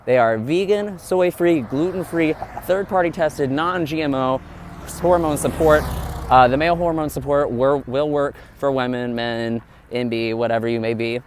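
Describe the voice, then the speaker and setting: Male vlogger, mid-pitched, candid and earnest, talking straight to camera outdoors with light traffic noise. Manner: earnest, open, addresses viewers directly. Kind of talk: candid vlog monologue to viewers.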